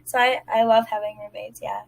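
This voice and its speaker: A woman's voice: medium pitch, little pitch movement, fairly quick, creaky, low-quality recording. A young woman giving polite, agreeable answers in a conversational phone interview, with informal phrasing. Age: young adult. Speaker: job applicant being interviewed.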